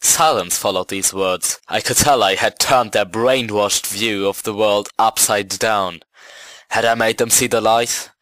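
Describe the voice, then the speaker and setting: A man reading as a self-satisfied revolutionary narrator in a scripted story, measured and earnest. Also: fairly low pitch, fairly even intonation, a bit bright, poor audio. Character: smug first-person schoolboy narrator, a self-satisfied revolutionary.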